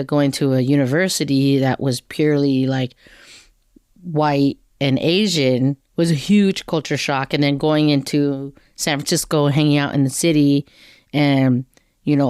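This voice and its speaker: Smooth-voiced podcast host from LA. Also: female voice, low voice, dry recording, studio quality. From Los Angeles area, USA. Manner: warm follow-up questions, relating own story. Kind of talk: conversational interview.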